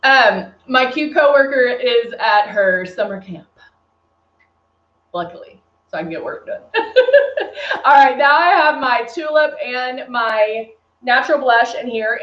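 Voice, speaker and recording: A woman's voice: on the high side, unhurried, a bit bright. Chatty Texan woman, echoey room. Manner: chatty, answers viewers' comments as she works. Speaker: beauty brand presenter. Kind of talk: live makeup tutorial. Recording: echoey room, dull lo-fi stream audio.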